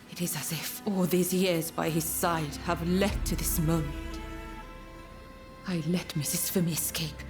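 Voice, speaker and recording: An actress playing a gentle, earnest, troubled woman in a video game, speaking politely and formally with some hesitation. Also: low-pitched. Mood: anxious. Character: gentle, earnest, troubled woman torn by loyalty to her lord. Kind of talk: dramatic video game dialogue. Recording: music behind the voice.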